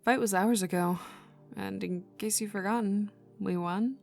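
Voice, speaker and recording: A woman acts out a young female villain enforcer in an ASMR audio drama, speaking close to the listener. Her voice has a creaky edge and ambles along, slipping into whispers. She is cocky and teasing, with a gruff protective streak, over ambient music. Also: medium pitch, fairly even intonation, unhurried, a little mellow. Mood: wry, caring. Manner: teasing, smirking, casual drawl lapsing into whispers.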